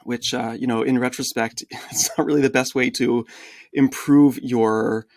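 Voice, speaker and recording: Gravelly-voiced man telling his story. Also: mid-range voice, a little slow, no room sound, studio quality. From United States. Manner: animated storyteller, frequent fillers. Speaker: guest, translation agency founder. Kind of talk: conversational podcast interview.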